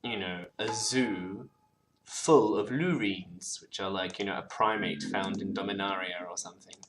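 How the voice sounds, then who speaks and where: A man's voice: sits low, measured pace, a bit bright, soft background music. A man, co-hosting and chatting through an online card game, restarting words and murmuring card names. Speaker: co-host playing a card game. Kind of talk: casual gameplay commentary. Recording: faint background noise.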